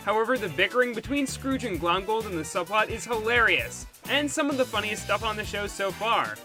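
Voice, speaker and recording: A man's voice: high voice, animated, fairly quick, over music. A man narrating a scripted, opinionated cartoon review as the host, critical in his delivery.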